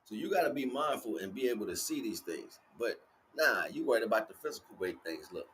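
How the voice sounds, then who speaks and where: A man's voice: fairly low pitch, very animated, a little fast, fairly bright tone, a little hiss or hum, poor audio. A man giving a rambling motivational spiritual talk in casual, sweary street slang, emphatic and repeating his phrases. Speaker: livestream spiritual teacher.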